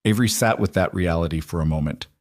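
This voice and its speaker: A man reading scripted suspense fiction as an audiobook narrator, in a measured, deliberate manner. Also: low voice, no echo, clean studio sound.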